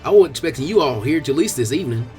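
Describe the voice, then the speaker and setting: A man's voice: on the high side, animated, very fast, darkish tone, faint music behind, close to an everyday voice. A man reading the part of a friendly young cattle rancher and chiding older cousin in a story, polite and plainspoken.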